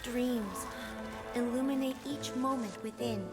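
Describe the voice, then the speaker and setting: An actress voicing a serene, gentle goddess who speaks softly and poetically as she bids a final farewell in a video game. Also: fairly high pitch, a little slow, close to an everyday voice. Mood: tender. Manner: soft, solemn and poetic. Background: game music.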